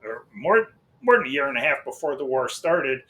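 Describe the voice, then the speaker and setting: A middle-aged man with an American accent speaks at a medium pitch. He talks off the cuff like a channel host giving an update, wandering through his thoughts with hesitations and repeated words. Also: very animated, normal speed, bright, forceful tone, rough recording. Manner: rambling, hesitant, often breaking off and repeating himself. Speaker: host of an old-time radio channel. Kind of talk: casual unscripted channel update.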